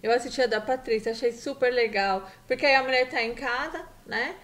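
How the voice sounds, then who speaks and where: A middle-aged woman from Brazil talks on a casual live stream. Her voice sits fairly high, and her talk is warm and chatty, full of quoted asides. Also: a little fast. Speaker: guest.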